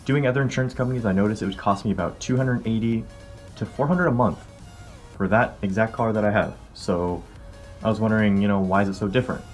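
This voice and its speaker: A man's voice: lowish voice, quick pace. A man in his early twenties with an American accent, talking informally to viewers as he explains things in a casual vlog. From California, United States. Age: early 20s. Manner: informal, conversational. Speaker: car vlogger. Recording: music behind the voice. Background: background music.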